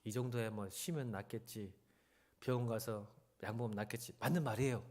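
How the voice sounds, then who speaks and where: A man's voice: lowish voice, fairly quick, a little mellow. A man preaching a church sermon as a pastor, conversational yet exhorting, often posing rhetorical questions straight to the congregation. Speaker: senior pastor. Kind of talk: church sermon with Bible reading.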